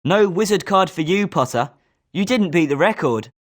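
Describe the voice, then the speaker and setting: A woman's voice: on the low side, expressive, normal speed. A woman with a British accent voicing a confident, sporting schoolboy wizard with a competitive streak in a video game. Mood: consoling. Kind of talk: scripted video game character lines.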